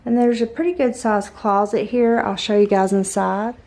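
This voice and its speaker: A woman vlogging a walk-through of a hotel suite, chatty and upbeat, her voice a little raspy after an overnight flight, recorded on the filming camera indoors. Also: medium pitch, steady tone, moderate pace, darkish tone, slight background noise. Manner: chatty, upbeat, tour-guide pointing out details. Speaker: travel vlogger. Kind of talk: casual hotel tour vlog.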